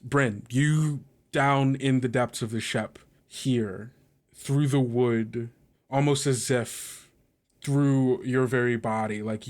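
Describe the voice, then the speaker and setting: A man narrating a tabletop game as game master, improvising vivid descriptions in halting, pieced-together phrases. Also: mid-range voice.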